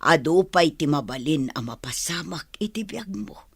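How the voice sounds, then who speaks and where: Woman acting low, husky, worried mother. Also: expressive, moderate pace, no echo, studio quality, exaggerated voice. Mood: gentle, consoling. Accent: Filipino, Ilocano. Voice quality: breathy, husky. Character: worried middle-aged mother, gentle and pleading. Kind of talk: audio drama acting.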